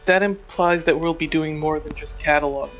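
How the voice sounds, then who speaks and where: Man, fairly high pitch, roleplaying game player, casual talk, light music behind. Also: fairly even intonation, fairly quick, fairly bright tone. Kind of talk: casual in-character game dialogue.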